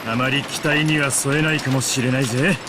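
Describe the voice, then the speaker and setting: A man playing a shrewd, casual middle-aged man with rough masculine speech in a Japanese visual novel, music behind him. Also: medium pitch, steady tone, racing, background noise, lightly acted. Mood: cool, musing.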